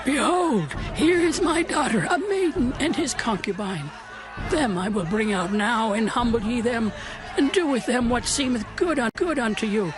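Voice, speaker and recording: An actor playing a hospitable old householder who speaks archaic English in an earnest, pleading way in a dramatized scripture reading. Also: male voice, extremely high pitch, lively intonation, moderate pace. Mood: desperate pleading. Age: older.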